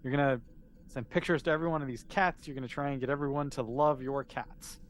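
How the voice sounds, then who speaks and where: A man's voice: medium pitch, quick pace, slight background noise. A man, improvising casually and encouragingly as game master of a tabletop role-playing game.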